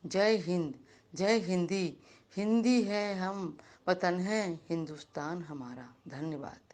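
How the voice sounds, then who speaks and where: A woman giving a recited speech in praise of a language, slow and earnest. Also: fairly low pitch, a little mellow. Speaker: speaker at a Hindi day event.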